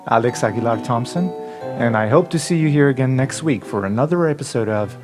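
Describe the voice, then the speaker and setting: A man's voice: medium pitch, animated, a little fast. A man, the host and game master of a podcast, reading a scripted outro. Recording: music under the voice. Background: music.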